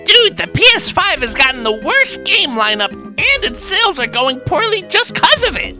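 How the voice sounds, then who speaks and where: A woman's voice: sits high, very animated, normal speed, sharp tone, soft background music, heavily acted. A woman voicing a cheeky, opinionated young male monkey sidekick in a scripted comedy cartoon. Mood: argumentative. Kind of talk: scripted comic cartoon dialogue.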